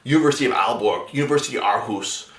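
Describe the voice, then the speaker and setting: A man's voice: mid-range voice, racing, a bit bright, roomy sound. A man giving casual, conversational job-search advice in a vlog, often restarting his sentences. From native English speaker. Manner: conversational, many restarts and filler words.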